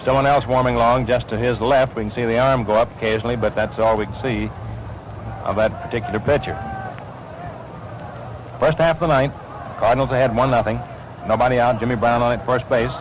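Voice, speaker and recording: An American man calling baseball play-by-play in 1940s radio style, describing the action in crisp phrases. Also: medium pitch, steady tone, a little fast, pauses often. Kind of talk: 1940s radio sports commentary. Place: ballpark broadcast position. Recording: noisy vintage 1940s radio broadcast.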